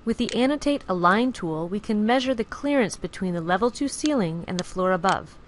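A woman giving clear, even, scripted narration for a software screencast tutorial, recorded as a studio voice-over. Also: mid-range voice, brisk, a little hiss or hum. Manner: clear, even instructional delivery.

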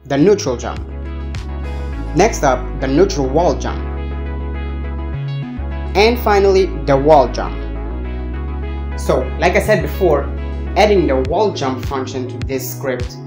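A young man presents a scripted programming lesson with a playful, step-by-step delivery. He speaks into a handheld mic in an echoey indoor room. Music plays clearly underneath his voice. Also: fairly high pitch, expressive, slow, long pauses. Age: young adult. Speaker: tutorial host. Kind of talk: scripted coding tutorial narration. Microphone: handheld microphone with furry windscreen. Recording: roomy echo, music underneath. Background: background music.

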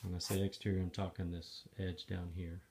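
A man casually narrating, step by step, the assembly of a trailer he is building, talking in a plain, matter-of-fact way with some halting pauses, filmed on a handheld phone in a garage. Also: low voice, flat delivery, creaky, darkish tone, a little hiss or hum. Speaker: DIY video maker. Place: garage or shop and outdoors by a trailer. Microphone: handheld phone camera.

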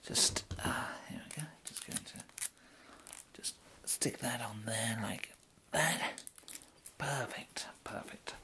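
A young man with an English accent softly whispering an ASMR roleplay as a polite, hesitant gentleman tailor. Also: medium pitch, takes it slowly. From England. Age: young adult. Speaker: ASMR performer playing a tailor.

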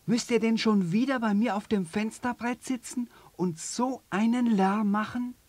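Man playing a small grumpy kangaroo in a storybook, high-pitched and shrill. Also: steady tone, measured pace, a little mellow, dry recording. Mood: annoyed. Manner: shrill scolding. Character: small cross young kangaroo.

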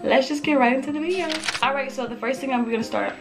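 A young woman with a slightly raspy voice, chatting upbeat and casual through a clothing try-on haul in her bedroom, talking to the camera up close. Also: high voice, fast talker, over music. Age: young adult. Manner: chatty, upbeat, lots of fillers. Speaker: fashion vlogger. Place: bedroom with photo-collage wall, indoors.

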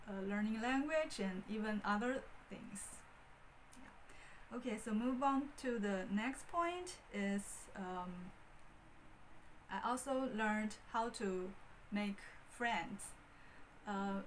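A woman in her thirties with a Mandarin Chinese accent talks slowly to camera, pausing often and filling gaps with ums. She holds a wired mic in a small room. A faint hiss sits under her voice. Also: medium pitch, rough recording. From Beijing, China. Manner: hesitant, many fillers and restarts. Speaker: vlogger. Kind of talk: casual talk to camera. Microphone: wired handheld mic.

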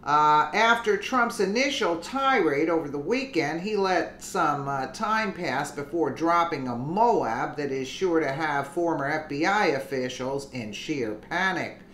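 A commentator reading a news article aloud with deliberate delivery and casual asides. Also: mid-range voice, expressive, a bit bright, roomy sound, a little hiss or hum.

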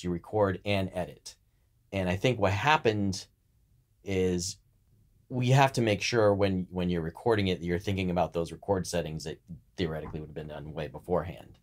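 A man's voice: sits low, darkish tone. A man co-hosting a podcast, explaining things in a conversational, instructional manner. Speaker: podcast co-host.

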